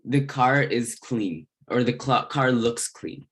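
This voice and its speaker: Male, mid-pitched voice, language tutor, explanatory lesson style, halting delivery, short phrases with pauses. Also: husky. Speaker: Spanish grammar tutor.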